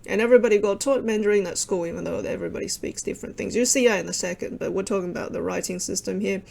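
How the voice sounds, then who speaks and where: A woman's voice: mid-range voice, racing, creaky, a little mellow. A young woman whose first language is Cantonese, casually explaining over maps in a rambling way, full of wry asides and self-interruptions. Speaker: video creator explaining with maps.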